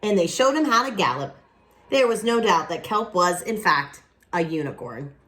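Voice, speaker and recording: A woman reading a picture book aloud expressively, with dramatic pauses and casual asides to young listeners. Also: lowish voice, animated, fairly bright tone. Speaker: children's picture book reader.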